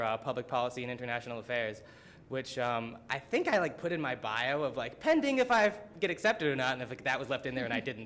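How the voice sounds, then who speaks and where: A man's voice: medium pitch, a bit bright, a little hiss or hum. Young man telling funny stories at a talk. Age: young adult. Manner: casual, many "like" fillers, laughing. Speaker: student presenter. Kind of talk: humorous storytelling talk. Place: college symposium room.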